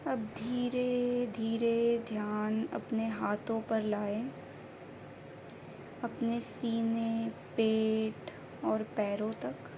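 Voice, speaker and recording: A woman guiding a meditation in a gentle, soothing manner, with short unhurried phrases of instruction. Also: a little high, flat delivery. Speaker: meditation guide. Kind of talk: guided meditation narration. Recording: faint background noise and ambience.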